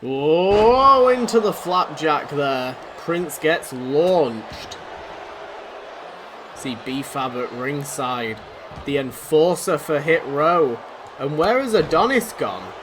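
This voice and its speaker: A man doing excitable, rambling play-by-play commentary over a wrestling video game, his voice going hoarse. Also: on the high side, slow. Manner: excitable, rambling, self-deprecating. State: losing his voice. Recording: noisy background.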